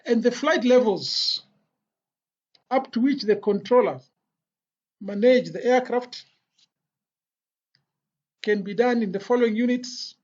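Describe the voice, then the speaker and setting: An instructor giving an explanatory lecture in a deliberate, phrase-by-phrase way with pauses. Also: medium pitch, a little mellow. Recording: dull, lo-fi voice recording.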